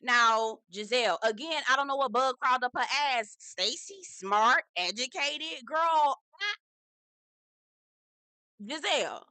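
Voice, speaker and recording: A woman's voice: high voice, lively intonation, unhurried, pauses often, sharp tone, no room sound. A woman recapping reality TV in a sassy, blunt, casual way, swearing freely and asking "okay?" often. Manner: sassy, blunt, swearing, heavy slang, lots of "okay?" and "girl".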